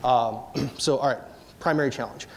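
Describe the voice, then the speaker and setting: Midwest guy doing a tech talk on stage, laid back, lots of ums, middle pitch. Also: normal speed, poor audio. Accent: upper Midwest American. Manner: relaxed, chatty, many fillers, asks audience questions. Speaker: conference presenter. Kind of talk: technical conference talk. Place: large dark stage before an audience.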